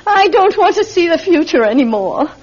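A woman's voice: extremely high pitch, sing-song, moderate pace. An actress in a radio drama playing a weary, sorrowful mother, plaintive and pleading. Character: weary, sorrowful mother who is a fortune-teller. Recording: dull vintage radio recording.

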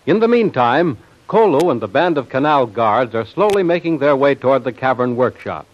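A man's voice: medium pitch, big pitch swings, moderate pace. A man acting as the dramatic narrator of an old radio adventure serial, reading scripted suspense. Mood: grave, suspenseful. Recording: dull old radio recording.

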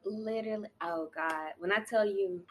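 A woman's voice: medium pitch, normal speed. A woman in her twenties hosting a podcast, chatting in a gushing, casual way with many "likes" and "oh my Gods.". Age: 20s. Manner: chatty, gushing, lots of "like" and "oh my God". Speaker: podcast host.

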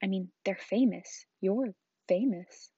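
A woman voicing a huge, gruff, hot-tempered but kindly giant man from a storybook, with rustic speech and a booming growl when angered. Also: takes it slowly, warm tone. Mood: indignant, insistent. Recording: roomy, dull lo-fi home recording.

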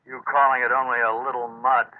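A man's voice: mid-range voice, flat delivery, brisk, lightly acted. Man acting an anxious salvage crewman, tinny radio sound. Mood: cautious concern. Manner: concerned, hesitant, urging. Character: anxious, cautious male salvage partner on the ship. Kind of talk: old-time radio suspense acting. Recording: tinny, lightly noisy old radio recording.

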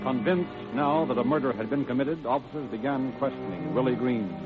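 An actor as the grave, formal narrator of an old-time radio true-crime dramatization. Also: male voice, medium pitch, brisk, over music, natural voice. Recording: tinny vintage radio recording.

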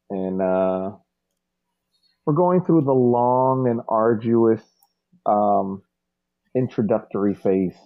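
A man on a casual podcast, telling a home story in a relaxed way. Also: on the low side. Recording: phone-like audio.

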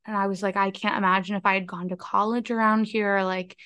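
A young woman from the United States hosting a casual podcast conversation, chatty and candid with quick asides. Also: mid-range voice, flat delivery, fairly bright tone, clear, resonant tone, no room sound, clean studio sound. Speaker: podcast host.

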